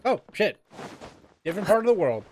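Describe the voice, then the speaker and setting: A man's voice: sits high, very animated, brisk, slightly rough. A man giving casual, irreverent, jokey commentary as he plays a game. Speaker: gaming streamer. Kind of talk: casual joking commentary on gameplay.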